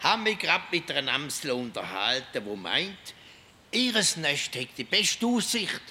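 Eccentric, forgetful Swiss German woodland spirit for radio play. Bright, highish voice, playful nonsense singing. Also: male voice, very animated, unhurried, husky, full character voice. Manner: singsong, exclamatory, prone to nonsense syllables. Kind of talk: children's radio play acting.

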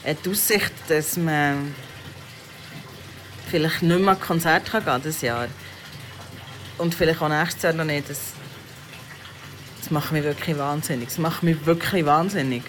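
A Swiss German woman speaks in a notably low voice. She muses candidly and without a script, like a personal podcast diary. Clear background noise runs under her words. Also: unhurried, long pauses. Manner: candid, unscripted, musing. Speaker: podcast host and parent. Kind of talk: casual personal audio diary.

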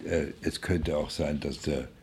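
A man in his eighties, an actor, talking in a halting, ruminative way for a radio piece. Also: very deep voice, fairly even intonation, darkish tone, slight background noise. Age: 80s.

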